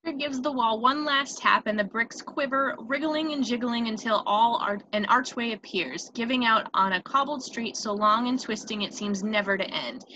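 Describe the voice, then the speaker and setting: A woman's voice: a little high, normal speed, hardly any pauses, bright, forceful tone. A woman reading scripted screenplay stage directions as narrator, on a dull, noisy home recording.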